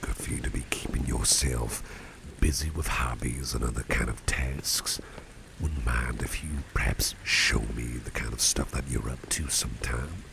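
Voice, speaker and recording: A man playing a kindly, genteel boss in an ASMR roleplay, speaking in an unhurried, breathy half-whisper with a gravelly depth. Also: extremely low pitch, background noise. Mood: curious, friendly. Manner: genteel, unhurried, repeats phrases for emphasis. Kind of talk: ASMR roleplay, soft-spoken personal attention.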